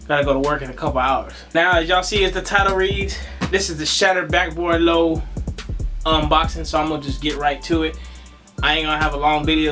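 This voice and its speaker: A man, slightly raspy and under the weather with a cough, hosting a casual sneaker unboxing vlog in a slangy, laid-back way. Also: fairly high pitch. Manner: slangy, laid-back, addresses viewers as y'all. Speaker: sneaker vlogger.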